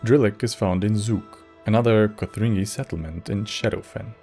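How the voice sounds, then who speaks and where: A man's voice: lowish voice, moderate pace, vocal fry, mellow, faint music behind. A man narrating as host of a video essay, scripted with casual asides.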